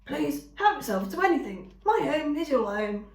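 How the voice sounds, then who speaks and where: A small, hospitable female skunk talks in a squeaky, excitable way, as a woman reads her in a storybook. Her voice sits high and bright. The sound has a roomy echo. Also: moderate pace, creaky, full character voice. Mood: warm.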